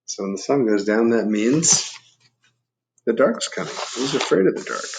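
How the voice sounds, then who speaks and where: Animated, creaky-voiced man reading to children. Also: a little high, poor audio. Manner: explains and asks the listeners questions. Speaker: storybook reader. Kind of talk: read-aloud for children with chatty asides.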